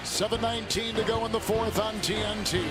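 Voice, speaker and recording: A man's voice: high voice, steady tone, fairly quick, over loud music. An American man calling basketball play-by-play in live television commentary style. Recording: loud arena noise behind broadcast voice. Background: arena noise, bouncing basketball.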